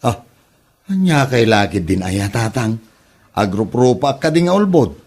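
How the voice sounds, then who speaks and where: A man's voice: medium pitch, very animated, unhurried, darkish tone. An actor playing a jobless, sweet-talking young womanizer son who is evasive and short of money, in an Ilocano comedy drama.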